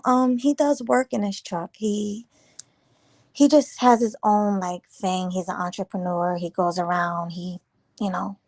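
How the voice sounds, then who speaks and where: Chatty, slightly raspy woman live-streaming. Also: medium pitch, a little mellow, no echo. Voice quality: a little raspy this morning. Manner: chatty, rambling, teasing viewers. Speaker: livestream host. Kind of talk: casual live chat reading comments.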